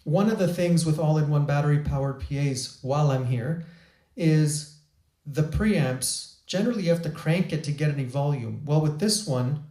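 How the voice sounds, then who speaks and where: A man's voice: a little high, moderate pace, warm tone. A man hosting a gear review, talking in an enthusiastic, chatty way with small restarts, recorded on a shotgun microphone. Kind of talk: product review talk.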